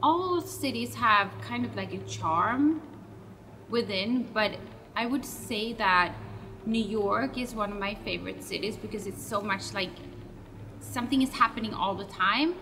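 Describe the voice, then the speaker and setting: A chatty woman, answering fan questions in a loose, rambling vlog. She stops to correct her own words as she talks. Her voice sounds set back from the mic, with a clear layer of background noise. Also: mid-range voice, unhurried, bright, forceful tone, recorded from a distance. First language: Swedish. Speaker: web show host.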